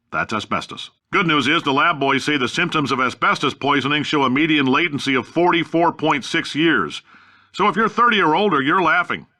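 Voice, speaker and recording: A man's voice: fairly high pitch, sharp tone. A man playing a brash, blustering middle-aged American science tycoon in a video game, delivering announcements with folksy, cocky, blunt salesman's patter.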